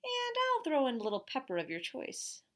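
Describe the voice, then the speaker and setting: Stubborn storybook boy, played by a woman, raised pitch, theatrical and pleading. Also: wildly swooping pitch, normal speed, fairly bright tone, heavily acted. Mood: bargaining. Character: young boy, dramatic, pleading, stubborn haggler.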